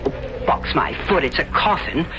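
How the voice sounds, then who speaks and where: A woman's voice: low voice, expressive, moderate pace, over music. Nosy, swooping society lady. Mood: suspicious, confiding. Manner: arch, theatrical, insinuating. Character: nosy married society lady, gushing, condescending, shrewd. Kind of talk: 1970s radio drama acting. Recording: muffled, noisy old radio recording.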